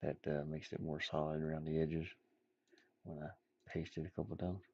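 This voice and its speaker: Low-voiced guy kinda mumbling playfully to himself as he works, dry close sound, a bit dull. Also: flat delivery, normal speed, gravelly, mellow, breathy edge, dry recording. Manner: playful muttering to himself, repeating words. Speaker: indie game developer streaming. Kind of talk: casual rambling commentary while drawing pixel art.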